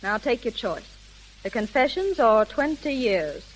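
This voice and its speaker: A woman's voice: on the high side, sing-song, a little slow. An actress playing an earnest, resolute young woman who runs a detective agency, in 1930s American radio drama style. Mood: firm, commanding. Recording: sizzling, noisy old 1930s radio recording.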